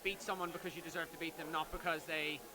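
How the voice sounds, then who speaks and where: A man explaining how a tournament rules pack was written, in a measured way. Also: fairly high pitch, little pitch movement, background noise. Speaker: event organizer. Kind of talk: explaining in casual talk. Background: crowd chatter.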